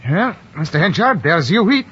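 An actor playing a courteous, modest young newcomer, earnest and a little hesitant, in vintage radio drama style. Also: male voice, sits high, big pitch swings, moderate pace, a bit bright, soft background music. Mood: polite, eager. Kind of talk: 1940s radio acting. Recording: muffled vintage radio recording.

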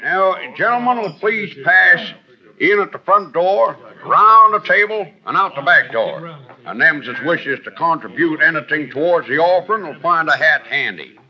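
An actor playing a folksy, kindly mining-camp caretaker in an old radio drama, with a rustic drawl. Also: male voice, fairly high pitch, lively intonation, normal speed, lightly acted. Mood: bossy, orderly. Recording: dull vintage radio recording.

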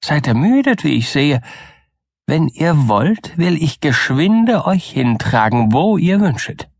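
A man's voice: fairly high pitch, sing-song, slow, gravelly, no room sound, clean studio sound, voice pushed up, heavily acted. A man voicing a tiny, friendly, magical little man from a storybook, cheerful and lilting. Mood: friendly, eager. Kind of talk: storybook character voice.

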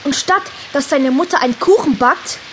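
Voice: high voice, normal speed, fairly bright tone, slight background noise. A performer playing an indignant, gossipy schoolchild in a children's audio drama, emphatic and scornful.

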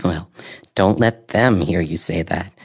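An actress playing a sharp, gossipy old woman in an audio drama, with a gravelly voice and a knowing, dryly opinionated way of talking. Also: rumbling low, unhurried, heavily acted. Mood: knowing, tart. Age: older.

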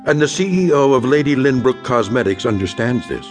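An actor playing a knowing, portentous storyteller who narrates an eerie audio drama with deliberate phrasing. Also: male voice, mid-range voice, animated, over music, close to an everyday voice. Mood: ominous, wry. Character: measured, ominous, knowing male storyteller. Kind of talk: dramatic scripted anthology narration.

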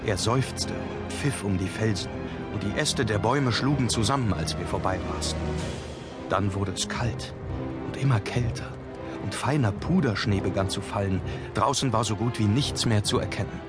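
A man narrating a horror audiobook in the first person, measured and suspenseful. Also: fairly low pitch. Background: prominent music.

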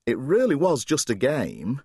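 A man's voice: medium pitch, wildly swooping pitch, measured pace, a little mellow, slightly rough, dry recording, exaggerated voice. A man voicing a frightened teenage boy who pants and babbles in panic. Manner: panicked, breathless. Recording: dry close recording.

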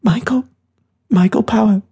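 A narrator puts on a ten-year-old boy for an audiobook, pitched far above the narration and breathy. He is naive and sulky, protesting plaintively, with fear and grief underneath. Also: a little slow, mellow, heavily acted. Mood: timid.

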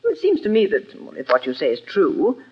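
Puzzled nurse, fast speech, lively pitch, deferential, hesitant, vintage radio play. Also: female voice. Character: respectful, puzzled hospital nurse. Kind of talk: old radio drama acting. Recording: muffled, noisy vintage radio recording.

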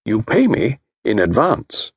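A man voicing a calm, self-assured middle-aged consultant who speaks in a measured, soothing way. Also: lowish voice, breathy edge, dry recording, full character voice.

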